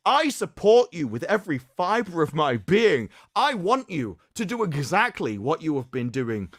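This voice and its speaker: Theatrical man with wildly swinging pitch. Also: very animated, normal speed, dry recording, clean studio sound. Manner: sarcastic, theatrical, emphatic. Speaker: streamer host. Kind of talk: dramatic reading with mocking commentary.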